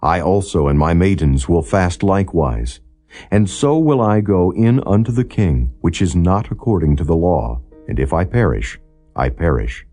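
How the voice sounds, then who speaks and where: A man's voice: deep voice, lively intonation, moderate pace, warm tone, faint music behind. A man reading the words of a brave, resolute queen in a scripture audiobook, formal, grave and deferential.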